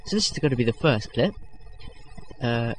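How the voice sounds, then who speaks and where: A man's voice: medium pitch, animated, normal speed, background noise. A young man hosting a casual video-editing tutorial, rambling with lots of fillers and self-corrections, self-deprecating. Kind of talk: unscripted screen-recording tutorial.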